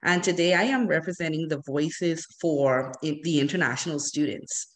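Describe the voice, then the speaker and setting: A young woman gives a prepared talk through a laptop webcam on a video call. Her voice sits a little low for a woman, and she reads earnestly and steadily, tripping now and then over a word. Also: moderate pace. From the Bahamas. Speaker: student presenter. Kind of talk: reading a prepared presentation.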